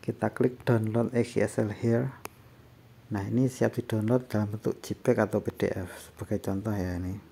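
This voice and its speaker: A man gives a casual step-by-step software tutorial, explaining in a halting way. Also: lowish voice, steady tone, vocal fry, breathy edge. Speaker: tutorial presenter. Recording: faint background noise.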